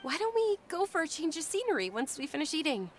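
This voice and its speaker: High-voiced, gentle young noble lady. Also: very animated, quick pace. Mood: cheerful. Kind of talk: scripted game dialogue. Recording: music under the voice.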